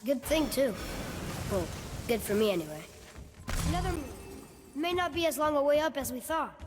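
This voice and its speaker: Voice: sits high, lively intonation, moderate pace, noisy background. A performer playing an eager, curious young boy in a video game, earnest and inquisitive with his father. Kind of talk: cinematic video game acting.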